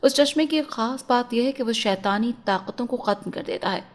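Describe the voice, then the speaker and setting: A woman's voice: fairly high pitch, brisk, natural voice. A woman voicing a young captive prince in a storybook tale, earnest and pleading, speaking formally and respectfully. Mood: solemn, instructing. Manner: formal, respectful, urgent address.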